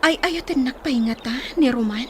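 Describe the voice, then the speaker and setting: A woman's voice: high-pitched, brisk, a little mellow, a little hiss or hum, natural voice. An actress with an Ilocano accent playing a lovelorn young village woman in a radio drama. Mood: wistful, longing.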